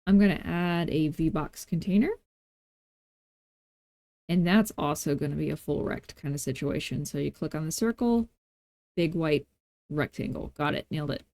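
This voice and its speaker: A woman hosting a software tutorial in a casual, playful way, thinking aloud and tossing in self-deprecating asides as she works. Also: low-pitched, moderate pace, pauses often, no echo. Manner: informal thinking aloud while working. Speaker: tutorial host. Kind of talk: casual screen-recorded software tutorial narration.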